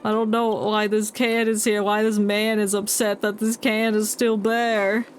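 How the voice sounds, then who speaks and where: A woman's voice: medium pitch, little pitch movement, measured pace, slight background noise. A woman livestreaming a video game, chatting casually and irreverently and reading dialogue aloud in a joking way. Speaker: game streamer. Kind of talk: casual livestream play-along commentary. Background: game music.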